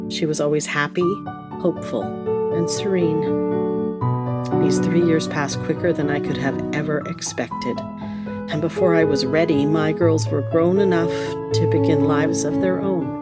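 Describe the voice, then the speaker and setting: A woman playing a gentle mother who narrates her daughters' lives in a scripted storybook style. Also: low-pitched.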